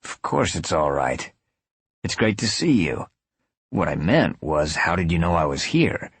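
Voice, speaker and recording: A man's voice: deep voice, very animated, unhurried, husky, no room sound, heavily acted. A man playing a wry, blunt male antihero with a casual way of talking in an audiobook.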